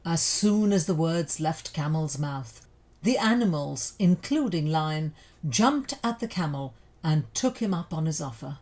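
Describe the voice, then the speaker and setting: A woman narrating a scripted folk tale for children in an expressive, deliberate storytelling manner. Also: low-pitched.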